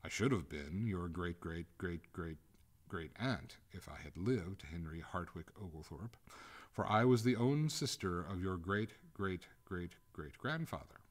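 A man reading a story aloud, voicing the prim, reproving ghost of a drowned maiden, in a voice close to his own low narration. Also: expressive, unhurried. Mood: solemn. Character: haughty drowned maiden ghost, centuries old.

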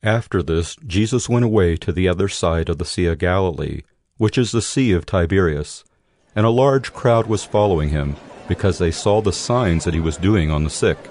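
A man's voice: low-pitched, moderate pace, a little mellow. A man narrating scripture from a script as part of a dramatized reading. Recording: faint music bed.

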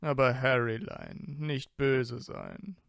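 A man's voice: mid-range voice, fairly even intonation, slow, gravelly, fairly bright tone, smooth, no echo, studio quality. A man playing a teasing, mock-haughty teenage wizard boy in a fan audio story, with drawling banter. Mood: wheedling. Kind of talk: fan audiobook character acting.

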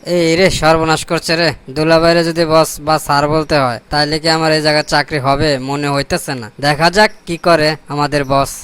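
Man voicing a cocky, high-pitched young Bangladeshi brother-in-law. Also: level tone, a little fast, a bit bright, clear, resonant tone, natural voice. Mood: worried aside. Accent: Bangladeshi colloquial. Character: cocky, hot-tempered young brother-in-law seeking a job. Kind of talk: comic cartoon voice acting in Bangla.

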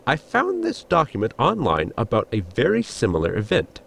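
Man doing a gravelly, formal ship's assistant. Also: medium pitch, wildly swooping pitch, moderate pace, exaggerated voice. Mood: explanatory. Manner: formal, explanatory. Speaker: actor in an audio drama. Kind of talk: sitcom audio drama acting.

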